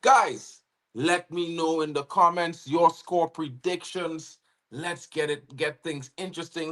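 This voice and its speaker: A man's voice: mid-range voice, a bit bright. A man with a Trinidadian accent chats loosely to his livestream audience. He reads out viewer messages, urges everyone to like and subscribe, and keeps circling back to "guys" and "you know what I mean". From Trinidad and Tobago. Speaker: livestream watchalong host. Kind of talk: casual livestream chat to viewers.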